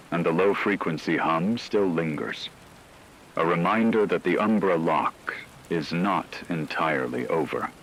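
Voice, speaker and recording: A man's voice: low-pitched, a bit bright, a little hiss or hum, low-quality recording. A man reading a scripted emergency radio broadcast as a newscaster, in a grave, solemn manner. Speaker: radio newscaster in a horror story.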